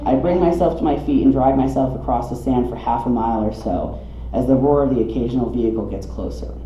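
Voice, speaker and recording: A man reads a personal story aloud with dramatic, wry comic timing. His voice is on the dark, mellow side. The recording is roomy and a little dull, with some light noise, as at a live reading in a coffee shop. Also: mid-range voice, fast talker. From southeastern United States. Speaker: storyteller reading own memoir.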